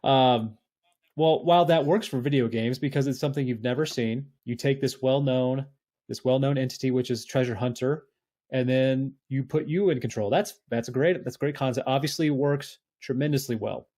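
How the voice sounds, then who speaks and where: A man's voice: medium pitch, moderate pace, warm tone. A man with a mid-range voice speaks as a podcast host. He lays out his opinions on films in a casual, conversational style. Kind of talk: casual film discussion.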